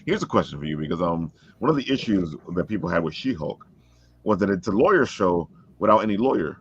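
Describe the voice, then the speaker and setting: A man in a casual panel chat, speaking in a halting, conversational way. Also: low voice, big pitch swings, lo-fi sound, a little hiss or hum. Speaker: talk show panelist.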